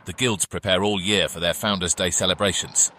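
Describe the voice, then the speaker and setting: Smug, menacing deputy. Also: male voice, low voice, steady tone, brisk, pushed and bright, a little hiss or hum. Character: sneering, menacing male deputy, cold and smug. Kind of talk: full cast audio drama.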